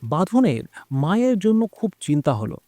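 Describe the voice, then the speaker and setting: A man tells a scripted horror story over background music. His voice sits a little high and swings widely in pitch. The delivery is dramatic and expressive, that of an audio story narrator. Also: normal speed, dry recording, clean studio sound. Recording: music behind the voice.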